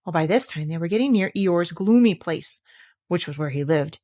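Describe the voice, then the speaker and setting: A woman reading a children's storybook aloud as an expressive narrator. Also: on the low side, normal speed. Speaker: storybook reader.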